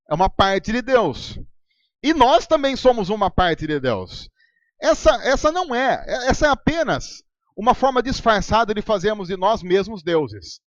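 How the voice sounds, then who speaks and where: Man, Brazilian accent, pastor's sermon, high voice, swooping pitch, dry slightly dull recording. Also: no room sound. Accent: Brazilian Portuguese. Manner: conversational preaching, with sarcastic asides and ironic voices. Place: church hall.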